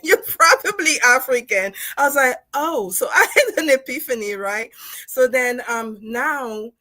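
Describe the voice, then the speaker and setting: A woman's voice: high-pitched, animated, measured pace, pushed and bright, no echo. A woman from Congo whose first language is French, a dentist and co-author talking through her experience in a casual livestream, reflective and full of fillers. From Democratic Republic of Congo. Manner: reflective, storytelling with frequent fillers and laughs.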